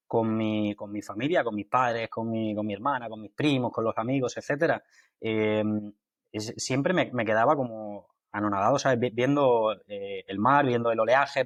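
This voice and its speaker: A man from Málaga with an Andalusian accent, a guest talking through an interview in a halting way with many fillers and restarts. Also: medium pitch, dry recording. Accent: Andalusian Spanish from Málaga. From Málaga, Spain. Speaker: guest, water industry engineer. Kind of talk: conversational interview.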